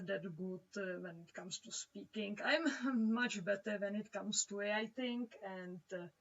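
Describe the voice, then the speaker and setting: A young woman from the Czech Republic, a native Czech speaker with a Czech accent, chats casually on a drawing livestream and haltingly searches for words. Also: medium pitch. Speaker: livestreaming comic artist. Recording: dull, distant-sounding home microphone.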